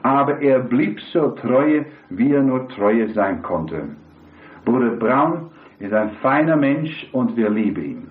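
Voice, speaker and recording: Hoarse older male preacher, slow and halting, asks the congregation questions; echoey, tinny recording. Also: mid-range voice, lively intonation. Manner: halting, self-correcting delivery with questions to the congregation. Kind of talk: sermon.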